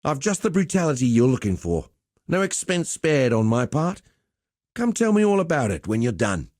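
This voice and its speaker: An actor as a mellow, roguish arena master. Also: male voice, mid-range voice, very animated, moderate pace, no room sound, studio quality, natural voice. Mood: cocky, teasing. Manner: wry, teasing, conversational swagger. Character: roguish male gladiator arena master, cocky, teasing, easygoing. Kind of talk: video game character dialogue.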